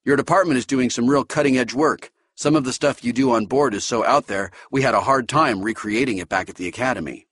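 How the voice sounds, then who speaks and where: A man voicing an eager, polite young male ensign who answers his superiors respectfully and briskly. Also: mid-range voice, fairly bright tone, no room sound, pitched above the performer's own voice, natural voice.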